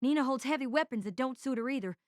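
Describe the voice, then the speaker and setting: A woman's voice: sits high, fast talker, no room sound, studio quality, close to an everyday voice. A woman playing an eager, friendly young male engineering student, chatty and earnest, in an audio drama. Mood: curious, earnest.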